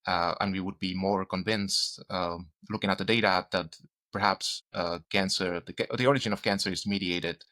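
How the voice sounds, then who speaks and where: A man, a medical researcher interviewed as a guest, explaining technical ideas in a hesitant, halting way. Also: low-pitched, fairly even intonation, bright, forceful tone. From Czech Republic, grew up in Spain. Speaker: guest, doctor and cancer researcher.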